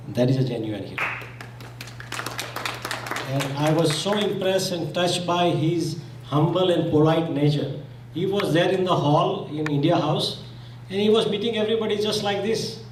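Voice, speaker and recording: A man's voice: a little high, darkish tone, a little hiss or hum. A man from Zambia whose first language is Gujarati, giving an earnest memorial speech and restarting his phrases as he goes. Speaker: speaker at a memorial meeting. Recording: echoey hall, mic from far off.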